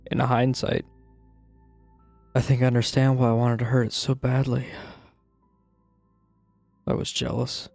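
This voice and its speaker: A man playing a troubled, guilt-ridden narrator confessing a grim childhood memory in a brooding, dramatic horror-story style, with a gravelly, husky voice. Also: medium pitch, monotone, unhurried, pauses often, darkish tone, dry recording. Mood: bitter, brooding. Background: music.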